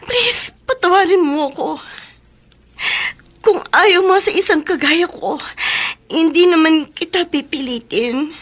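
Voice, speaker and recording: Timid lovesick best friend for radio drama: high voice, slow, halting pleas. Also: female voice, lively intonation, fairly bright tone, heavily acted. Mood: remorseful, pleading. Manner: halting, pleading. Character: timid, lovesick young woman confessing to her best friend. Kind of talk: Filipino radio drama acting.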